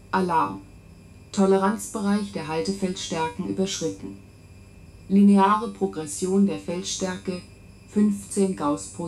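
An impersonal female computer played by an actress reads out warnings in a clipped, formal way. Her pitch is medium, and the 1970s science fiction radio recording carries a faint hum. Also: a little mellow, close to an everyday voice. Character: female-voiced main monitoring computer announcing alarms, impersonal.

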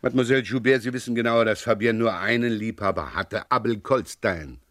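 An actor playing a polite but persistent, dryly sceptical police inspector in a German crime radio play, unhurried and given to hesitant repetitions. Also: male voice, lowish voice, a bit bright, no echo, studio quality, natural voice. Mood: politely probing.

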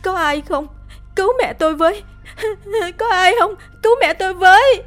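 A woman's voice: medium pitch, big pitch swings, fast, a little mellow, soft background music. A woman voicing a distraught young woman, defiant and frantic. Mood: screaming for help.

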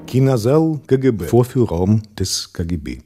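An actor serving as terse bilingual scene announcer in a German radio drama. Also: male voice, medium pitch, very animated, normal speed, over music, close to an everyday voice. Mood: clipped. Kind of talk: scripted radio drama narration.

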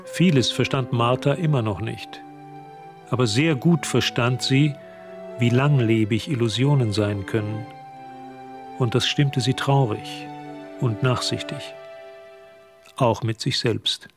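A man narrating a scripted radio drama as a measured, observant storyteller. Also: medium pitch, over music. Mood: reflective.

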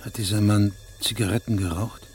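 An actor playing a stern, methodical investigating judge in a radio drama, measured, probing and authoritative. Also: male voice, on the low side, steady tone, a little mellow, a little hiss or hum, close to an everyday voice. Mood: calm, probing.

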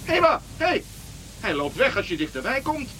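A man's voice: very high voice, very animated, normal speed, bright, forceful tone, a little hiss or hum, heavily acted, poor audio. An actor playing a grumbling, bewildered old king in a radio play, exclaiming and muttering to himself. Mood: bewildered wonder.

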